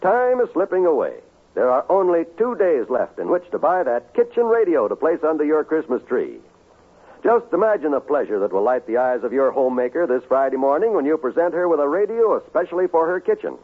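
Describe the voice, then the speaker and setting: An American man announcing and reading a scripted sales pitch in 1950s radio style. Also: big pitch swings, fairly quick. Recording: muffled 1950s radio recording, faint music.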